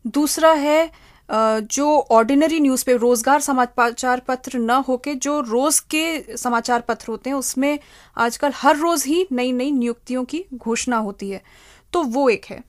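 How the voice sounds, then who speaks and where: Female interview guest, higher-pitched, explanatory delivery with examples, dry recording. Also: moderate pace, no echo. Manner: explanatory, spells out terms with examples. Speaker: human resource consultant, interview guest.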